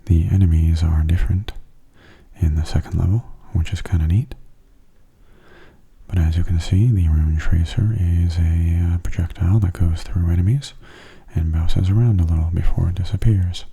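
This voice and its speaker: A man giving soft-spoken ASMR commentary on a game in a gentle, unhurried, casual way, his hushed voice often slipping into whispers. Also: deep voice, steady tone, creaky, breathy edge. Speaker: gameplay video host.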